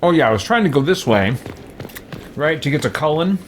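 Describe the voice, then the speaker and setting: Man in his thirties, game streamer, lively mid-pitched voice, let's play commentary, faint game noise. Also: brisk. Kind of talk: let's play commentary with role-played replies.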